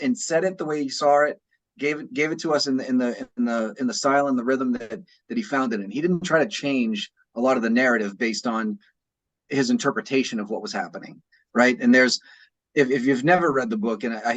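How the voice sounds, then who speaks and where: A man with an average-pitched voice chats on a podcast as a co-host. He gives his opinions on books casually, repeating phrases as he goes and often checking that the listener follows. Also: rough recording. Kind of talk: casual opinionated book discussion.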